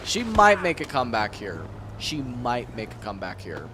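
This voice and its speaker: A man's voice: medium pitch, wildly swooping pitch, moderate pace, noisy background. A young man streaming casually, cursing and muttering to himself as he works through a task. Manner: profane, muttering to himself while working. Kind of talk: casual live-stream commentary.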